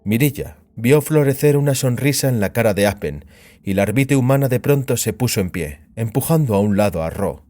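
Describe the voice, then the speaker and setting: A man's voice: medium pitch, animated, brisk, warm tone, no echo. A man giving a dramatic narration of scripted fiction as an audiobook narrator.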